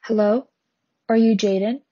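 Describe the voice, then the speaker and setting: A woman playing a sober, measured authority figure in an amateur radio play. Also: mid-range voice, steady tone, noisy background, close to an everyday voice. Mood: grave, formal. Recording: muffled lo-fi, loud music behind.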